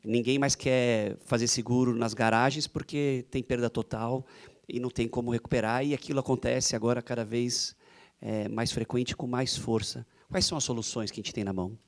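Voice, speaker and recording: A man's voice: medium pitch, steady tone, moderate pace. A man with a Brazilian accent moderating a forum panel in a conversational way, stringing one question after another. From Santos, Brazil. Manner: inquisitive, strings questions together.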